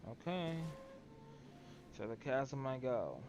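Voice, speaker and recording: A man's voice: mid-range voice, sing-song, slow, lo-fi sound, over music. A man doing casual, offhand gameplay commentary as a streamer, in slangy reactions and mutters. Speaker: game streamer. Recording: clearly noisy stream audio.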